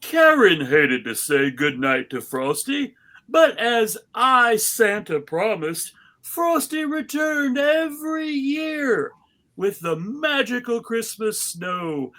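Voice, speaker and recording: A man hosting a live script reading, narrating and bantering in a casual, animated way. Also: very high-pitched.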